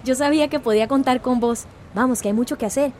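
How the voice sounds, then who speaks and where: Actress as a fast-talking, eager young Central American activist woman. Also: high voice, a little mellow, natural voice. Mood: eager, excited. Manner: effusive, chatty, familiar. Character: eager young female community activist organizing a village campaign. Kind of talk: radio drama acting. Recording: faint background noise.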